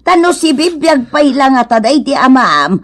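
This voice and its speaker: A woman's voice: high voice, sing-song, moderate pace. A woman playing a fretful, indulgent elderly mother in a comedy radio drama, with an Ilocano accent. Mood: worried, chiding.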